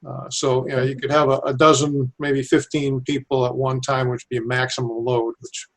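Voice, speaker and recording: A man's voice: mid-range voice, little pitch movement, fairly quick, low-quality recording. A man giving informal, step-by-step explanations as an engineer being interviewed. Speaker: civil engineer, airport designer being interviewed.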